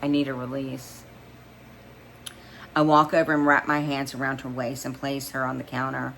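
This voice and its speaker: A woman's voice: very deep voice, little pitch movement, moderate pace, gravelly, a bit bright, slight background noise, low-quality recording. A woman narrating a first-person story as an amateur reader, stumbling now and then and rereading phrases.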